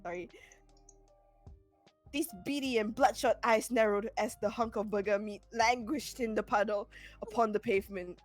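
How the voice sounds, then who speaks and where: A woman's voice: mid-range voice, fairly even intonation, normal speed, soft background music. A woman with a Singaporean accent doing a dramatic comic reading on a live stream, giggly, sweary and often breaking up mid-sentence. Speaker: reader on a live fan fiction stream. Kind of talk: dramatic comedic reading and casual banter.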